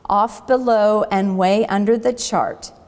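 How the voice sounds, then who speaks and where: A woman voicing a go-getter young female sloth who gently takes charge and instructs, in a children's storybook. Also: mid-range voice, steady tone, slow, big-room sound, close to an everyday voice. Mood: brisk, determined. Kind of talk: children's storybook read-aloud.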